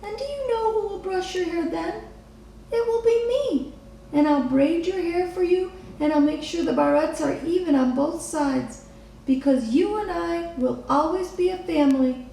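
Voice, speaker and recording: Woman voicing an earnest high-pitched little storybook girl. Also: moderate pace, vocal fry, warm tone. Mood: tender, hopeful. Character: earnest, wistful little girl who loves her mother. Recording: echoey room, distant mic, faint noise.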